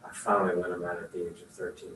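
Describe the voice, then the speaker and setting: An older man chatting in a folksy, rambling way, full of asides and fillers. His voice sits at an ordinary pitch and sounds far off in an echoey room, with a faint hiss underneath. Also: flat delivery, racing, fairly bright tone. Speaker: attorney. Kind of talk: casual talk at a career session. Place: room at a career services office.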